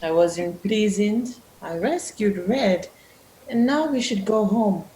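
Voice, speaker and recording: Reluctant young village heroine played by a woman: guarded, doubting, unhurried delivery, radio play, echoey room. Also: mid-range voice, warm tone, a little hiss or hum, natural voice. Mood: defensive, discouraged. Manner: defensive, doubting.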